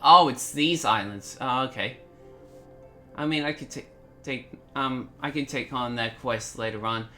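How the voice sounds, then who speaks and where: Man, casual gaming commentary, mid pitch, bright tone, stumbles over words, soft music in background. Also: unhurried. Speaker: gaming video host. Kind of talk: casual gameplay commentary, reading dialogue choices aloud.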